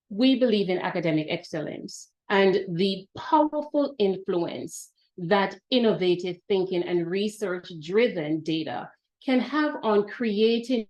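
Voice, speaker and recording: An older woman with a Jamaican accent delivers a prepared, uplifting address from a video call. She speaks at an unhurried pace in a middle pitch, and she stresses her phrases one by one with measured emphasis. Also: low-quality recording. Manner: slow, deliberate and emphatic, with repeated phrases. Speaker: institute leader giving a welcome address. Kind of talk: prepared inspirational speech.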